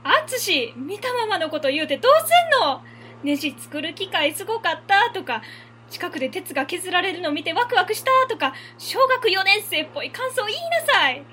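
Cast a woman as a high, bright, nagging Kansai mother, lively delivery. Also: normal speed, a little hiss or hum, full character voice. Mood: exasperated. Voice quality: sharp, nagging. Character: scolding, embarrassed working mother. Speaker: mother on a factory tour. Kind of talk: amateur web radio drama.

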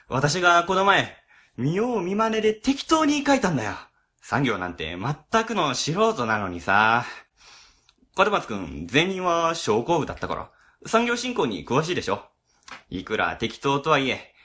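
A man's voice: medium pitch, wildly swooping pitch, normal speed, pushed and bright. A man playing a harried, grumbling but easygoing office section chief in an amateur radio drama, explaining things casually with exasperation. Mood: nonchalant.

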